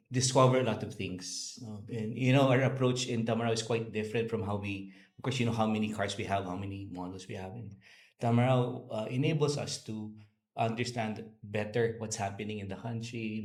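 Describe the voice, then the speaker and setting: Low, creaky Filipino man in an echoey room. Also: steady tone, moderate pace. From Philippines. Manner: hesitant, repeats words as he goes. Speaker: car company executive being interviewed. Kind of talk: unscripted interview answers. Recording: roomy, echoey indoor sound.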